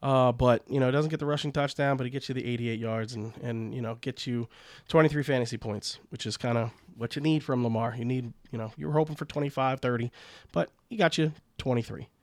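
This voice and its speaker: A congested man with a cold talks through a game recap in a loose, rambling way, stumbling over restarts and leaning on fillers. His voice sits at an ordinary middle pitch. It is recorded close and dry, with no room sound. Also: a little fast, no echo. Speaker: fantasy football podcast host. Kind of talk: casual solo sports recap.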